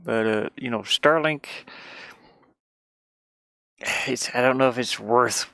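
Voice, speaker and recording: A man's voice: medium pitch, takes it slowly, creaky, fairly bright tone, no echo, studio quality. A man chatting casually and informally while he draws on a tablet, rambling and often muttering half to himself. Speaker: livestreamer drawing on an art tablet. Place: inside a metal van lined with insulation.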